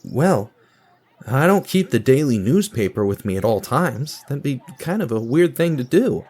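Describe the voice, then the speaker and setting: A man's voice: medium pitch, big pitch swings, moderate pace, a little mellow, breathy edge. A man playing a bland, awkward guy in his twenties in an audio drama, with a hesitant, earnest manner. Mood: awkward, apologetic. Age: 20s.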